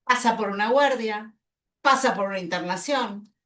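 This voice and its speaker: A woman with an Argentine accent giving a spontaneous panel presentation, emphatic and deliberate, repeating words as she builds her point. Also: mid-range voice, pushed and bright. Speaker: panelist, health-rights lawyer.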